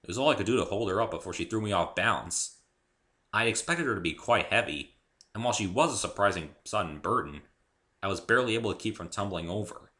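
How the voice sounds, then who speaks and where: A man gives a dramatic first-person story reading. His voice is on the low side yet very bright and forceful, with lively intonation. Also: fast talker. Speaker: amateur author reading own story.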